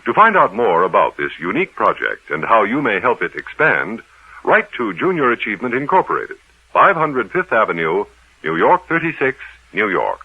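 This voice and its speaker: A man's voice: on the low side, wildly swooping pitch, moderate pace, breathy edge, no echo. A man reading a scripted 1950s radio public service announcement as the announcer. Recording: thin, phone-like old radio recording.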